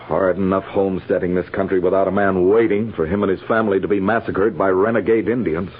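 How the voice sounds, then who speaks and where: A man's voice: sits low, fairly even intonation, quick pace, slightly rough, phone-quality sound. An American man, middle-aged, playing a stern, by-the-book cavalry major, formal and authoritative, in 1950s radio western acting. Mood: firm. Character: stern, by-the-book older male army major. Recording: thin band-limited old radio recording.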